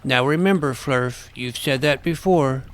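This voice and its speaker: A man playing an earnest, loyal twelve-year-old boy adventurer who narrates and acts in a fantasy audio drama, with a gravelly creak. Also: mid-range voice, measured pace, a bit bright, natural voice. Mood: friendly, excited.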